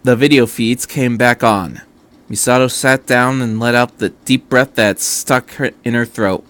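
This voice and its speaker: A man's voice: mid-range voice, fairly even intonation, measured pace, a bit bright. A man reading fan fiction aloud as an amateur narrator of a scripted story.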